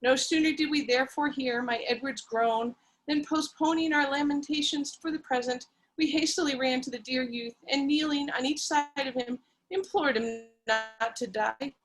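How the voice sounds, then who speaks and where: Theatrical high-voiced woman narrator. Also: moderate pace, low-quality recording. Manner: theatrical, breathless melodrama. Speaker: narrator and lead reader. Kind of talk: amateur dramatic reading over video call. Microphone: video call.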